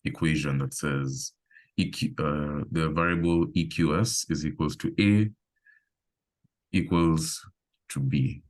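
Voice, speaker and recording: Very deep-voiced man teaching code. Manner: relaxed, thinking aloud with pauses and fillers. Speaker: coding instructor. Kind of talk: live online coding lesson.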